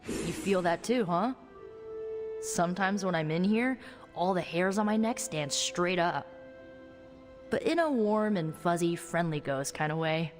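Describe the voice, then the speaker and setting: Young sorceress in a game, breezy n wry, chatty, really animated pitch, music behind. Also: female voice, unhurried. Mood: intrigued, earnest. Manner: breezy, conversational, playful. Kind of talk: video game cutscene acting.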